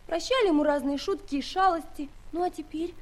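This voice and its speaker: A woman's voice: extremely high pitch, brisk. An actress playing a caring young giant girl in an audio play. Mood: tender, concerned. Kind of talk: Soviet audio play acting.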